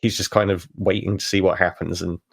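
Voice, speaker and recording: A man's voice: low-pitched, level tone, a little fast, creaky, husky. A man who is a player in a tabletop game, casually describing his character in the third person.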